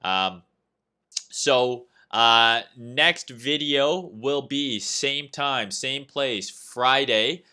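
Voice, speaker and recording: A man's voice: medium pitch, extremely slow, bright, forceful tone, clear, resonant tone. A young Canadian man with a Canadian accent hosting a casual livestream, talking loosely through trading setups and replying to chat with lots of "uh" and "right?". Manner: loose and chatty, reads chat aloud, frequent "uh" and "right?". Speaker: livestream host, trading analyst. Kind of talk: casual livestream market commentary.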